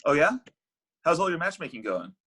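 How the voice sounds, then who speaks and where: A man's voice: a little high, very animated, a little fast, a bit bright, a little hiss or hum. A man in a sitcom table read playing a lovelorn, cocky, needy matchmaker in a sardonic, conversational way.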